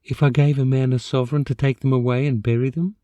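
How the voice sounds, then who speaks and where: A man's voice: mid-range voice, flat delivery, quick pace, warm tone, no echo, close to an everyday voice. A man voicing a genteel married woman who is anxious and exasperated, in a storybook reading. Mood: worried.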